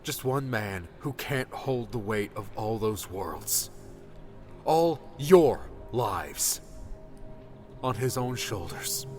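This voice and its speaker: Man voicing a slow-paced, earnest young hero. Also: on the low side, animated, a little mellow, soft background music, pitched above the performer's own voice. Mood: earnest pleading. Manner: solemn, heartfelt. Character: earnest, burdened young male hero pleading with others. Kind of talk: audiobook character dialogue.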